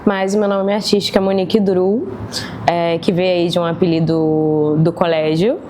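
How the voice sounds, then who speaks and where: A woman's voice: on the low side, level tone, moderate pace, mellow, slight background noise. A woman in her twenties from Rio de Janeiro, speaking thoughtfully with hesitant restarts in a documentary interview. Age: 20s.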